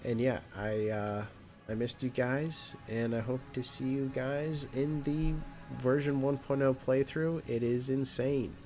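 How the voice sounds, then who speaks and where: A man's voice: medium pitch, fairly even intonation, measured pace, a little mellow. A man with a nasal voice hosting a gaming channel, chatting casually and rambling with lots of fillers while he plays. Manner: rambling, with many fillers and restarts. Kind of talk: casual let's play commentary. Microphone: new microphone. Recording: game music behind the voice. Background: game music.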